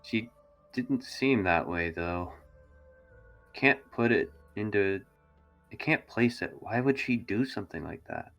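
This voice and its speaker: A man's voice: on the low side, animated, a little slow, vocal fry, fairly bright tone, soft background music. A man chatting casually and describing his character as a tabletop roleplay player. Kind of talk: casual in-game chat.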